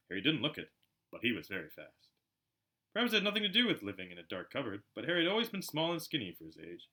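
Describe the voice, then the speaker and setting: A man reading aloud as an amateur narrator, expressive and storybook-like, with a chatty side. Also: mid-range voice. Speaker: amateur audiobook reader.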